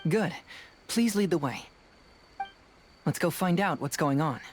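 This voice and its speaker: A man voicing a young male detective in a video game, analytical, composed and confident, explaining things deliberately. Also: fairly high pitch, expressive, slight background noise. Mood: decisive. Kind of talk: scripted video game dialogue.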